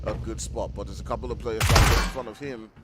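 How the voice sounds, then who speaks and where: A man with an African English accent giving live play-by-play commentary on an esports match, with steady fillers as he calls the action. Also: on the low side, very noisy. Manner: rapid excited calls with filler "you know". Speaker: esports caster. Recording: game gunfire and vehicle sounds behind.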